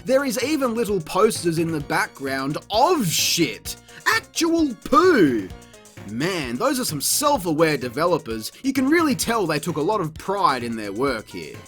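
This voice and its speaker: A reviewer delivers a scripted comic rant about games, full of swearing and sarcasm. The pitch swings widely with exasperation, and music plays behind the voice. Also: huge pitch swings, a little slow, a bit bright. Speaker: video game reviewer, channel host. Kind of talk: scripted comedic review narration.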